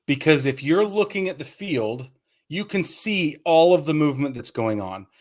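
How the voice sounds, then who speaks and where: A man's voice: on the high side, lively intonation, normal speed. A man co-hosting a casual sports podcast, explaining his opinions point by point in conversational talk. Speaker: podcast co-host.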